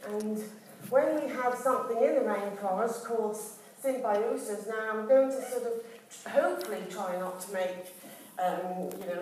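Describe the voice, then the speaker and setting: A woman's voice: medium pitch, a little mellow, a little hiss or hum. Chatty woman demonstrating flowers in an echoey hall. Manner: rambling, chatty, many ums and restarts. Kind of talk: live floristry demonstration with chatty asides. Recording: echoey hall, recorded from a distance.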